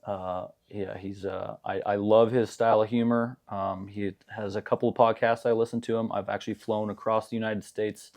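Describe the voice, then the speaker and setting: A man's voice: fairly low pitch, level tone, normal speed, hardly any pauses, darkish tone. A young man answering interview questions in a casual, hesitant way, with many fillers and restarts. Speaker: gym member being interviewed.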